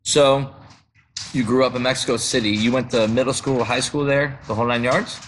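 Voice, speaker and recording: A man's voice: mid-range voice, steady tone, moderate pace, fairly bright tone, slight background noise. A man hosting an interview, asking rambling questions with enthusiasm and frequent laughs. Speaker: podcast host, interviewer. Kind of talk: casual interview.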